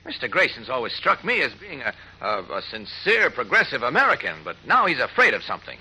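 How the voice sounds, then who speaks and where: A man's voice: mid-range voice, huge pitch swings, a little fast, sharp tone, husky, full character voice, low-quality recording. A man playing an earnest, persistent newspaper reporter in 1940s radio style, urgent and reasoning. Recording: old radio recording.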